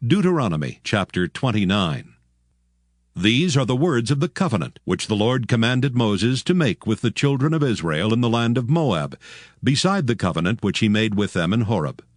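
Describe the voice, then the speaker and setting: A man's voice: on the low side, big pitch swings, normal speed, no echo, studio quality. A man narrating scripture from a script, announcing and setting out the text.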